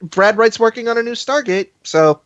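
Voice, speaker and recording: A podcast co-host chatting casually in an animated, exclamatory way. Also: medium pitch, normal speed, highs cut off, a little hiss or hum. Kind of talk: casual chat.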